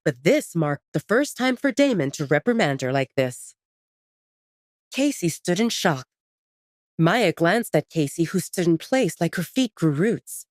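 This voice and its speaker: A woman's voice: on the low side, sing-song, measured pace, darkish tone, no echo, clean studio sound. A woman reading scripted, dramatic romance fiction as an audiobook narrator.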